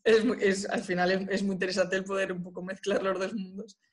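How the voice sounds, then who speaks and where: Young woman with an even tone. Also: mid-range voice, brisk, a crowd in the background. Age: young adult. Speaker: interviewee, tech professional. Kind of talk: conversational interview answers. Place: indoors at home, video call.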